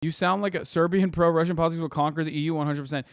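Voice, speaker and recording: A fairly high, smooth male voice recorded close and dry, as a streamer reacts to an article. He rants with heated sarcasm and frequent swearing, pausing on fillers before driving his point home. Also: steady tone, dry recording. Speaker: streamer host. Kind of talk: live stream reaction commentary.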